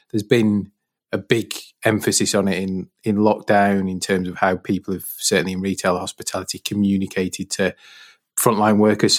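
Chatty English podcast host, man. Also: on the low side. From England. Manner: friendly, chatty, with repeated words. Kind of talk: casual interview hosting.

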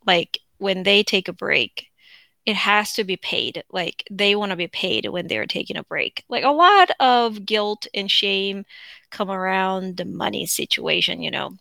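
A woman's voice: medium pitch, expressive, a little slow, vocal fry, bright, forceful tone, no echo. A Korean woman hosting her own podcast, talking in a rambling, chatty way with plenty of fillers and restarts, with a Korean accent. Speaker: podcast host. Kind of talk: casual unscripted monologue.

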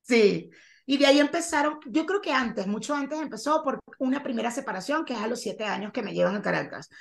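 A Venezuelan woman in her forties, a guest telling her story in a casual interview over a video call, lively and acting out quoted lines. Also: on the high side, brisk, gravelly. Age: 40s.

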